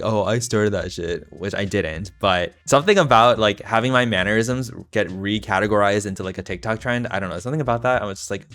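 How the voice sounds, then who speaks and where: A young man with a deep voice and natural vocal fry, rambling casually and campily as he plays a game. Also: fairly bright tone, no room sound. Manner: chatty, campy, self-mocking asides. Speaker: gaming video host. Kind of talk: casual rambling commentary while playing.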